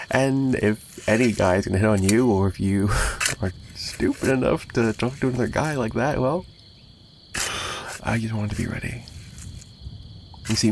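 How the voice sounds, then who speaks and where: A man's voice: on the low side, darkish tone, lightly acted. A man playing a possessive, menacing mafia boss in an ASMR roleplay, taunting the listener with theatrical mock sweetness and threats. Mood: gleeful, sinister. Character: possessive, menacing young male mafia boss, obsessive kidnapper. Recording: noticeable background noise.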